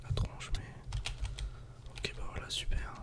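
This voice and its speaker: A man whispers casual ASMR game commentary in a breathy, unvoiced voice, speaking directly to the viewer. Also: a little slow. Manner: casual, soft-spoken, addressing the viewer directly. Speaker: ASMR gaming video creator.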